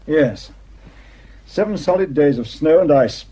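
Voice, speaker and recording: A man's voice: fairly high pitch, lively intonation, measured pace, warm tone. A man acting a sardonic police superintendent in a radio drama, questioning with wry insistence. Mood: wry, courteous. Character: sardonic, probing police superintendent investigating a murder. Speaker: actor.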